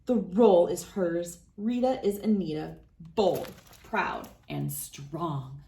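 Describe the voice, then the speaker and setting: A woman reading a children's story aloud as host, with dramatic, deliberate phrasing and clear emphasis. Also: on the low side, dark tone, a little hiss or hum. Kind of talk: expressive picture-book read-aloud.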